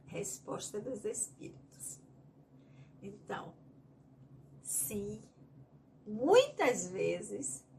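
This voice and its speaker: Higher-voiced Bahian woman leading study. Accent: Bahian Brazilian Portuguese. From Bahia, Brazil. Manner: reads a question aloud, then explains it at length in her own words. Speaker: study group leader. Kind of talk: teaching a spiritist study group.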